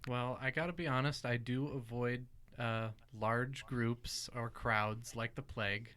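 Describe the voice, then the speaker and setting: A man's voice: mid-range voice, steady tone, unhurried, a bit bright. A man from California, a podcast co-host, chatting casually in a laid-back way with dry humor. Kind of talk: casual chat.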